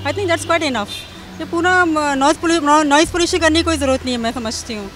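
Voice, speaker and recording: A woman's voice: high voice, a little fast, a bit bright. A woman with an Indian accent giving a casual, hesitant opinion in a street interview. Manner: hesitant, mixes in English. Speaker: member of the public giving opinion. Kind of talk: casual vox pop opinion.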